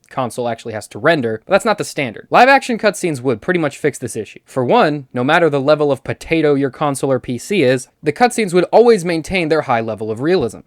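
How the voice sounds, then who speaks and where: A man presents casual video essay commentary in a close, dry recording with almost no room sound. His voice sits at an average pitch, and he talks conversationally, dropping in wry asides. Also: no room sound. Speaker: host.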